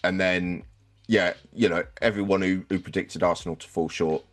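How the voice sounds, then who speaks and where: A man's voice: low voice, steady tone, moderate pace. A man hosting a sports podcast, talking casually and wryly as he explains season results, with some swearing. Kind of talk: casual football podcast review.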